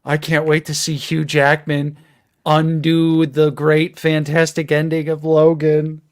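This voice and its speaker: A man's voice: on the high side, monotone, unhurried, dry recording, studio quality. A thirty-year-old man co-hosting a live stream, laughing and teasing in a sarcastic, casual way. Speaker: co-host of a toy collecting live stream.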